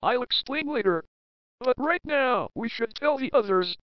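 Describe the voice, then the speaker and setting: A woman's voice: a little high, animated, unhurried, dry recording, highs cut off. A woman voicing characters in a fan comic dub, earnest and explaining.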